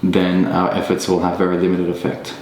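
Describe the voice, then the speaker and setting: Aussie guy, deep flat voice, lots of ums, echoey room, bit of rumble. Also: fairly quick. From Australia. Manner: thoughtful, many ums and restarts. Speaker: podcast guest. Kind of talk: casual reflective conversation.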